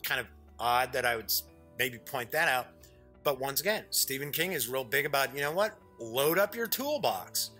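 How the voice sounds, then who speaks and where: A man's voice: fairly high pitch, pushed and bright. A middle-aged man hosting a casual live unboxing show, chatty and jokey, often wandering off on small asides. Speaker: show host.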